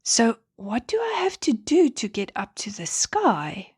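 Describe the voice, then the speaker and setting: A woman's voice: on the high side, huge pitch swings, unhurried, a little mellow, breathy edge, no echo, clean studio sound, voice pushed up, exaggerated voice. A woman voicing a shy, nervous young male bunny who tries to be brave, in a children's bedtime story. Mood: nervous, hesitant. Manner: hesitant, nervous.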